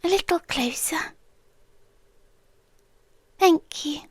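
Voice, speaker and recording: A woman's voice: extremely high pitch, drawn-out words, no echo, full character voice. A British woman playing a playful, tender, possessive young forest monster girl in an ASMR roleplay, teasing and intimate, often slipping toward a whisper. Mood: tender, longing.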